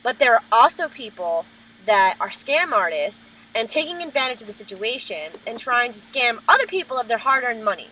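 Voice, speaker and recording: Loud, high-pitched woman ranting. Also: lively intonation, moderate pace, a little hiss or hum, poor audio. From New Jersey. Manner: loud, profane, heated ranting. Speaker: vlogger. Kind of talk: webcam rant. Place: at work. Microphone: webcam.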